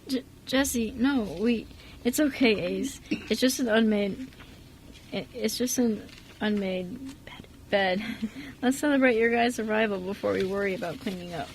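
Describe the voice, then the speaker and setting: A woman playing a kind, soft-spoken adoptive father, hesitant and reassuring, in an amateur radio drama. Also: a little high, unhurried, slight background noise. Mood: warm, reassuring. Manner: hesitant, reassuring, mild stammer.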